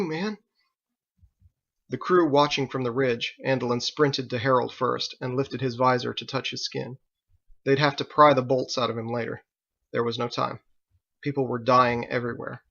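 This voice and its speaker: A man's voice: medium pitch, steady tone, normal speed, vocal fry, a bit bright, muffled recording. A man reading his own novel aloud as dramatic audiobook narration.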